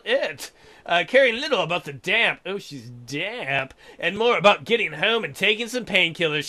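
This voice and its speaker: A man's voice: high-pitched, bright, forceful tone. A man hosting a let's play, reading a visual novel aloud with crude, irreverent joking asides.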